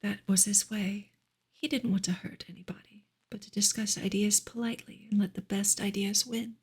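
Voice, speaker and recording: A woman gives a soft ASMR reading of a book, breathy and often close to a whisper, in a gentle, measured way. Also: mid-range voice, fairly even intonation. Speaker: streamer reading a novel aloud.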